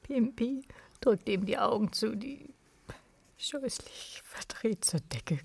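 A woman narrating a literary radio play in a hushed, scripted style. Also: a little high, animated, slow pace, creaky, slightly rough, dry recording.

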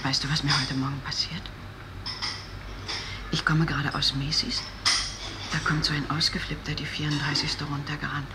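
A man's voice: a little high, completely flat delivery, moderate pace, pauses often, natural voice. An actor playing a man who tells a colloquial, confiding anecdote in a German radio drama. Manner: colloquial storytelling. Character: confiding male colleague telling a wild city anecdote. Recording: very noisy background with clicks.

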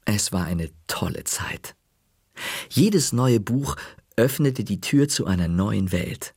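A man reading a children's book aloud in the first person, with lively storytelling. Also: lowish voice, slow pace, creaky, husky, no room sound, studio quality. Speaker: audiobook narrator.

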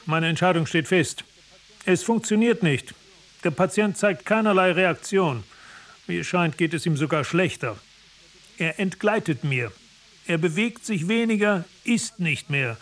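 Strict military doctor officer, highish voice, clipped and cold, radio play style. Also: male voice, animated, a little slow. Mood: cold, firm. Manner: clipped, authoritative, formal. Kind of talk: radio drama acting.

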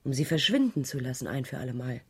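Husky, low-voiced troubled woman witness. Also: very animated, a little mellow, heavily acted. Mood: defensive, earnest. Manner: hesitant, halting testimony. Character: troubled, earnest woman witness, protective and defiant. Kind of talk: German radio crime drama acting.

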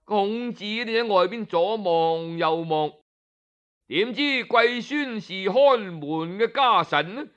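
Male Cantonese storyteller, higher-pitched, unhurried, colloquial and explanatory, drawn-out phrase endings, slightly dull recording. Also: expressive, fairly bright tone. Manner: conversational, explanatory, with drawn-out phrase-end particles. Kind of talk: Cantonese oral storytelling, scripted yet colloquial narration.

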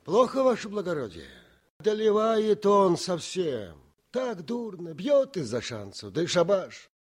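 An actor playing a plaintive common soldier in a radio play, with a folksy, complaining manner. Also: male voice, sits high, big pitch swings, a little slow, slightly rough, exaggerated voice. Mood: aggrieved.

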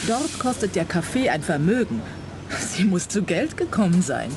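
An actress playing a worried, proper schoolteacher in a radio play, speaking in an earnest, correct way. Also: female voice, medium pitch, big pitch swings, moderate pace, over music. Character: worried, proper schoolteacher daughter hiring a detective. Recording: faint background ambience noise.

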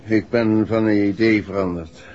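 An actor playing an evasive husband who is secretly a criminal mastermind in a 1960s radio drama, with hesitant, dry Netherlands Dutch speech. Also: male voice, fairly low pitch, fairly even intonation, moderate pace, a little mellow, close to an everyday voice. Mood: guarded. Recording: muffled, noisy 1960s radio recording.

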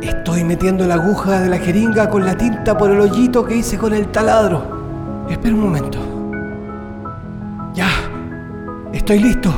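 A man's voice: high voice, normal speed, long pauses, close to an everyday voice. An actor playing an eager, wry prisoner digging an escape tunnel in a radio drama, savoring his words. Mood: awed, straining. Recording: long tunnel reverb, music behind.

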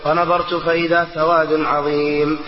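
A man's voice: fairly high pitch, flat delivery, moderate pace. A man reading a religious text aloud in a recitational manner, as a student reader would. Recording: muffled, very echoey hall.

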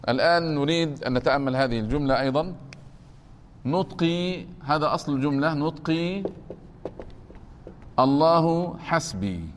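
A man teaching a grammar class, explaining slowly and repeating points, often stopping to ask whether it is clear. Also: fairly high pitch, animated, a little hiss or hum. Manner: deliberate, repeats points and keeps asking if it is clear. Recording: long reverb of a hall.

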